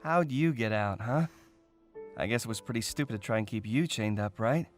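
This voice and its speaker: A man playing a gentle, apologetic character in a fan audio drama, talking softly and reassuringly as if to a big animal friend. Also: medium pitch, normal speed, faint music behind, close to an everyday voice. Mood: gentle, soothing.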